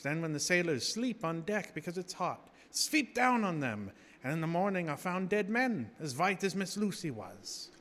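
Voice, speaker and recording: An actor playing a grave, commanding professor who hunts vampires, delivering probing, theatrical speeches in gothic radio drama. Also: male voice, high-pitched, sing-song, normal speed, few pauses, a bit bright. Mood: intense, lecturing. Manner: theatrical, probing rhetorical questions. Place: live theater stage. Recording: reverberant theater hall with noise.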